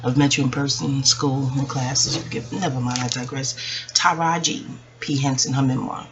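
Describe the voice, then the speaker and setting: A woman in her forties talking to her viewers in a hushed, rambling, reflective way, hesitating and digressing as she goes. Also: a bass voice, fairly even intonation, normal speed. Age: 40s. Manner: hushed, keeping voice down so as not to wake others. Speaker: video channel host. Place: at home, quiet dark room. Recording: dull lo-fi audio with faint background noise.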